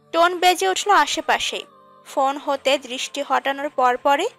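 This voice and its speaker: A female narrator tells a romantic story from a script. Her voice sits high for a woman. Music plays underneath the narration. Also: fairly bright tone. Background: background music.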